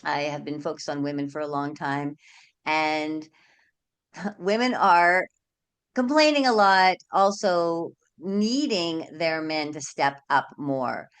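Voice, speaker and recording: A middle-aged woman hosting an interview, talking in an earnest, reflective, halting way. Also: low voice, clear, resonant tone. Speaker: host, sexuality coach. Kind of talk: casual interview chat.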